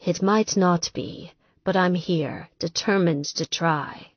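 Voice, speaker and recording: A woman's voice: on the low side, slow pace, no room sound, lo-fi sound. A woman voicing a contrite, determined man, the teasing hero of an audiobook romance, earnest and coaxing.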